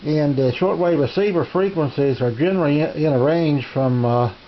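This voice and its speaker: Halting man demoing radios. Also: on the high side. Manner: halting, many "uh" fillers and restarts. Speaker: ham radio hobbyist demonstrating receivers. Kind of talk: casual home video demonstration. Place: home radio shack. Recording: dull lo-fi camera audio with hiss.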